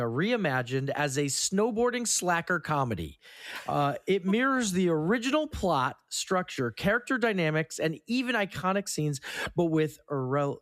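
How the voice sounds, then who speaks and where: A man hosting a casual film podcast, running through trivia in a halting way and stumbling over words now and then. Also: fairly high pitch, a bit bright, no echo, studio quality. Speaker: podcast host. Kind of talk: casual film trivia rundown among co-hosts.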